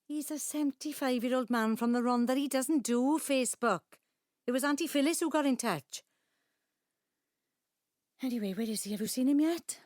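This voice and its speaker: An actress playing a middle-aged Welsh daughter in an audio drama, a chiding, anxious peacekeeper with a South Wales accent. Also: female voice, high voice, moderate pace, pauses often, darkish tone, no echo, studio quality, natural voice. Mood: chiding, playful. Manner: chiding, pleading. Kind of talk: naturalistic audio drama acting.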